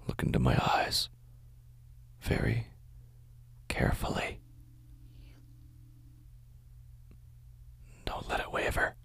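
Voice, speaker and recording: An actor playing a weary, troubled man in an audio drama monologue, brooding and halting, often hushed. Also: on the low side, creaky, breathy edge, exaggerated voice. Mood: hushed, intent. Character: weary, troubled man brooding over his warped perception.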